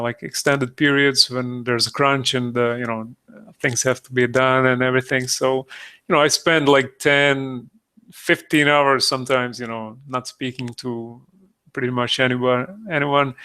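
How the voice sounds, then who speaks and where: Hesitant Croatian man with a gravelly voice. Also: medium pitch, pushed and bright. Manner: halting, many fillers and restarts. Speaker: digital sculpting streamer. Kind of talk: live tutorial talk. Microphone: close desktop mic, breathing audible.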